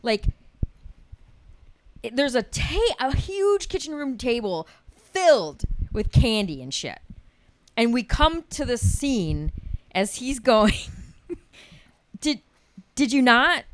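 A woman's voice: on the high side, slow, long pauses, rough recording. A woman co-hosting a casual podcast chat, telling stories with lots of laughter and frequent swearing. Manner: animated storytelling, swears freely, laughs often. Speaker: podcast co-host.

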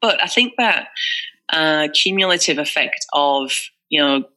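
Bright-voiced Northeast English woman. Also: low voice, lively intonation, measured pace, low-quality recording. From northeast England. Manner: chatty and candid, many fillers and restarts. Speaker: guest. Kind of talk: casual conversational interview.

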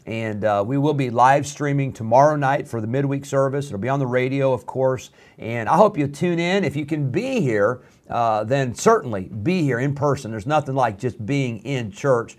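A man's voice: medium pitch, darkish tone. A man, a pastor hosting his church's radio broadcast, making friendly, rambling announcements with many fillers. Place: church studio room.

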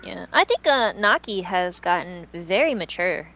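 A woman's voice: on the low side, expressive, unhurried, pushed and bright, highs cut off, a little hiss or hum. A woman hosting a podcast, chatting casually in an informal, slangy way. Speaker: podcast host.

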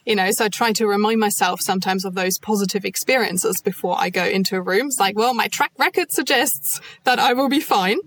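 A woman consultant speaking as a podcast guest, measured and thoughtful, with frequent soft fillers. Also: mid-range voice, slight background noise. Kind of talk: podcast interview.

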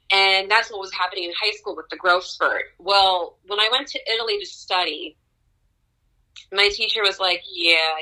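A young woman opera singer chats with an interviewer, her voice bright and sharp-edged. She tells stories with animated energy, laughing often and jumping upward in pitch at the exciting parts. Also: low-quality recording. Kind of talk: conversational interview storytelling.

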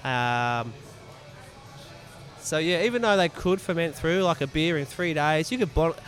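A man's voice: fairly high pitch, animated, a little slow, a bit bright, soft background music. A man chatting casually as an interview guest, explaining his craft informally and repeating phrases for emphasis. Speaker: podcast guest, mead maker.

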